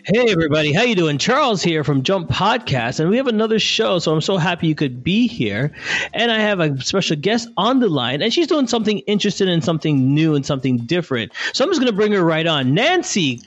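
Lively, chatty man hosting a podcast. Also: sits high, no room sound, lo-fi sound. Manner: friendly, eager, quick asides. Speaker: podcast host. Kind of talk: upbeat casual interview chat.

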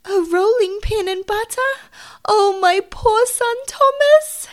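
A woman's voice: on the high side, expressive, measured pace, pitched above the performer's own voice. A woman voicing a tearful, fretful mother cat in a dramatic storybook reading, with wailing exclamations. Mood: distraught.

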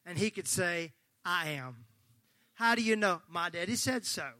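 A male pastor preaching a sermon, fervent and folksy, joking with the congregation and breaking into shouts. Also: sits high, expressive, a little slow, dry recording. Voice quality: slightly gravelly.

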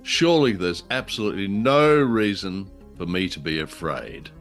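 A man's voice: sits low, expressive, moderate pace, soft background music. A man co-hosting a show with scripted banter in an arch, mock-innocent manner. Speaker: podcast co-host.

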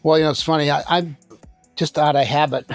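A man in his sixties, a company founder, answering interview questions in a measured, thoughtful way with frequent fillers and restarts. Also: fairly high pitch, steady tone, soft background music. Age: 60s.

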